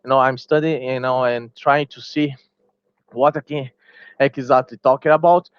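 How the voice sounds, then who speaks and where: A man's voice: mid-range voice, steady tone, normal speed, smooth, no room sound, lo-fi sound. A man whose first language is Portuguese, a small gaming YouTuber giving a heated opinion vlog, repeating himself and restarting phrases, sounding tired.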